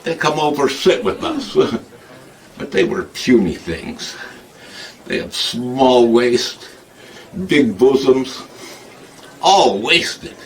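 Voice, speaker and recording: A man's voice: mid-range voice, animated, slow pace, pauses often, far from the mic, voice pushed down, low-quality recording. A man performing a comic character named Igor in put-on broken English, rambling and laughing a lot. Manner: broken grammar, frequent laughing. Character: foreign male hunchback-type comic Igor, boastful. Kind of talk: comic monologue.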